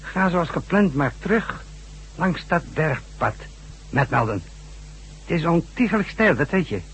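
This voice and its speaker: A man's voice: a little high, big pitch swings, unhurried, a bit bright, breathy edge, heavily acted, poor audio. An actor playing a menacing, smugly taunting male chief giving cold orders in a science fiction radio drama. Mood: cold, smug menace.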